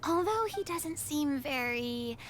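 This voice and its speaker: A woman voices a naive, timid fantasy adventurer with an extremely high voice. The delivery is hesitant and unsure, with faint noise behind it. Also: expressive, slow.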